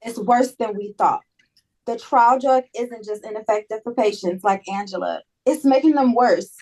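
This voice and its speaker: A woman's voice: fairly high pitch, normal speed, a little mellow, poor audio. A woman in a table read playing a blunt, emphatic, protective female colleague in a drama. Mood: grave, urgent. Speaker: actor in a script table read. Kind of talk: dramatic script reading.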